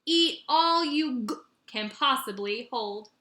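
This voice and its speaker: A woman's voice: high voice, animated, slow pace, bright, forceful tone, roomy sound, full character voice. A woman voicing a protective, emphatic mother bear in a children's storybook. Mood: motherly. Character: protective mother bear, instructing, then alarmed.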